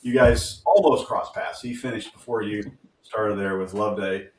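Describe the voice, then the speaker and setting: A man's voice: on the low side, normal speed, slight background noise. A groggy man co-hosting a podcast, chatting casually and rambling with lots of fillers and self-corrections. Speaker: podcast co-host. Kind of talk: casual interview talk. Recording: roomy sound from a distant mic.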